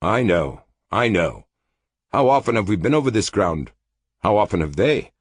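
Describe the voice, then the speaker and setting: Brief: a male reader as a terse brooding man, low husky voice, dry close sound. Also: big pitch swings, moderate pace, dry recording. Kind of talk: audiobook character voice.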